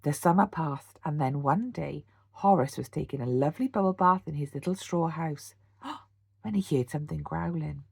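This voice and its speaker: A British woman, a teacher, reading a children's storybook aloud in an animated bedtime-story style. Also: deep voice, moderate pace, vocal fry, husky, no room sound. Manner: animated, with gasps and sound words.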